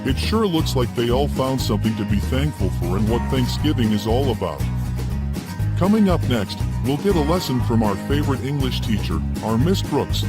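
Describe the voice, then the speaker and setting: Male host, mid-pitched, presenting scripted program introductions over loud backing music. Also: big pitch swings, moderate pace.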